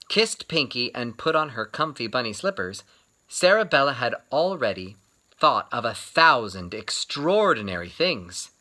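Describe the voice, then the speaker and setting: A man telling a children's picture-book story as a read-aloud, expressive and varied in his emphasis. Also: medium pitch.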